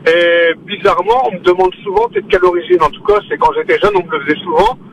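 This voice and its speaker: A man's voice: sits high, lively intonation, fast talker, gravelly, lo-fi sound, slight background noise. A man from France calling a radio show to give his opinion casually and insistently. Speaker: phone-in caller.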